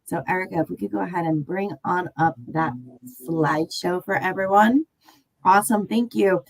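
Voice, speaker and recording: A woman's voice: lowish voice, normal speed, vocal fry, rough recording. A woman nutrition educator giving an online class, upbeat and chatty, sounding a little nasal and congested after laryngitis. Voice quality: slightly nasal. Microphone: video call.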